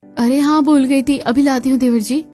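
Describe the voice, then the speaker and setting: A woman voicing a put-upon young bride in a family cartoon, expressive and dutiful, given to muttering. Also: high-pitched, normal speed, soft background music, natural voice.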